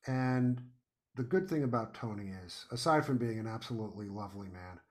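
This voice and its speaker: A man's voice: on the low side, a little fast, a little mellow. A man speaking as an enthusiastic podcast guest, effusive and emphatic in casual conversation.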